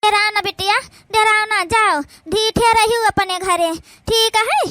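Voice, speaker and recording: A woman's voice: very high voice, fairly even intonation, unhurried, sharp tone, no echo, full character voice. A woman voicing a kindly, protective village aunt in a cartoon, soothing and motherly, with an Awadhi accent. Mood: reassuring. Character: kindly, protective village aunt consoling her orphaned niece. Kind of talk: village cartoon comedy-drama acting.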